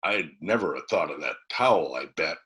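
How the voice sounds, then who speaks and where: A man's voice: extremely low pitch, expressive, moderate pace, bright, forceful tone, heavily acted. A man voicing an anxious, curious rustic boy sidekick in a storybook, with wide-eyed folksy questioning. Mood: wondering, wary. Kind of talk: dramatised storybook reading.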